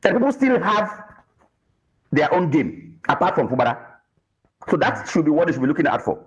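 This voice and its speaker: A Nigerian man delivers political commentary with big swings in pitch. He is emphatic and repeats phrases to drive them home, and the audio is somewhat dull and lo-fi. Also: sing-song, moderate pace. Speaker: political analyst panel guest.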